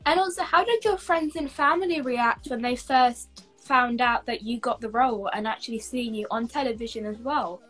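Young girl hosting a video call, real high bubbly voice, reads out fan questions, little music in the back. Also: moderate pace, hardly any pauses, fairly bright tone. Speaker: host. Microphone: laptop webcam on a video call.

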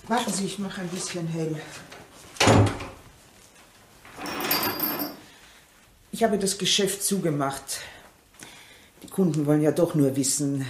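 An actress playing a bereaved middle-aged shopkeeper mother in a German radio crime drama, halting, formal and restrained. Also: sits low, vocal fry, a little mellow, a little hiss or hum. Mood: guarded. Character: bereaved village shopkeeper mother, guarded and subdued.

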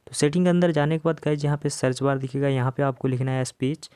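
A man's voice: medium pitch, fairly even intonation, quick pace, dark tone, clean tone, dry recording, clean studio sound. A man with an Indian accent giving a casual, step-by-step phone tutorial, addressing viewers directly as he instructs. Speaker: tech tutorial host.

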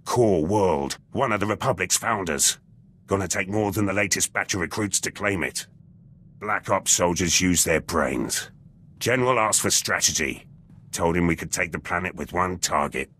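An actor playing a blunt, battle-hungry special forces soldier in a video game, gruff and husky, speaking in clipped, terse sentences. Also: male voice, low-pitched, moderate pace, a bit bright. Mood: eager, determined. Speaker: soldier companion character. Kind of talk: video game dialogue acting. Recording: music under the voice.

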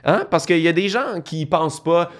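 A young man with a Québécois French accent, explaining in a casual talking-head video from a small home studio, lively and gesturing, restarting phrases as he thinks. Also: a little high, normal speed. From Quebec. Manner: animated, gestures a lot, thinks aloud with restarts. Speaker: online course creator. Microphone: studio mic on boom arm beside him.

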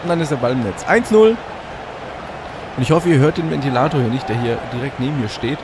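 A man with a slight cold hosting let's play commentary on a football video game from a hot room at home, getting excitable and shouting at goals. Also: medium pitch, quick pace. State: a bit congested with a cold. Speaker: gaming channel host. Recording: audible fan noise.

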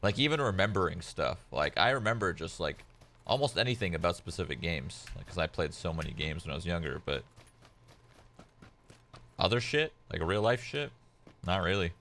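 A man's voice: low-pitched, sing-song, moderate pace, fairly bright tone, slight background noise. A young man whose first language is Polish, chatting casually as a game streamer at home, laid-back and rambling with lots of "like" fillers. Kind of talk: casual chat while gaming.

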